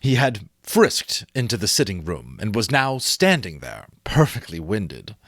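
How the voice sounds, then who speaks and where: Casting: male storyteller, unhurried pace, lively intonation, dramatic audiobook reading in a dry, close sound. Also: on the low side, no echo. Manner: theatrical, expressive. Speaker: audiobook reader and host. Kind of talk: dramatic storybook narration with casual asides.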